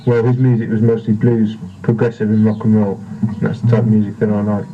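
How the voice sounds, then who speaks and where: A man's voice: fairly low pitch, flat delivery, a little fast, warm tone. A teenage boy giving brief, matter-of-fact interview answers in a reserved way on an old seventies tape. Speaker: interviewee, amateur guitarist. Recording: dull, noisy 1970s reel tape.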